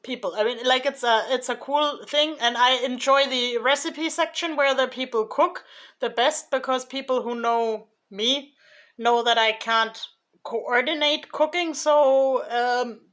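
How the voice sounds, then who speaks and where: Slow, hesitant woman vlogger. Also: high-pitched, a bit bright. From Germany. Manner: hesitant, many fillers and restarts; slow, thoughtful delivery. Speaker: YouTuber. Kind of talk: casual vlog recap.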